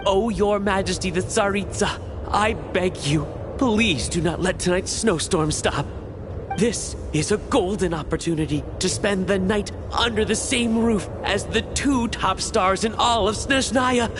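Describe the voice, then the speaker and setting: A man's voice: high voice, measured pace, background noise. A man playing a dramatic, earnest and starstruck theater fan in a video game. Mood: pleading, gushing.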